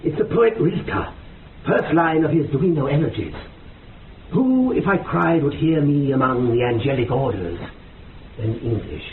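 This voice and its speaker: An actor in a radio horror drama playing an obsessed, grandiose scientist who lectures and declaims intensely. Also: male voice, mid-range voice, big pitch swings, measured pace. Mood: intrigued. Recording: phone-like band, echoey, distant, noisy.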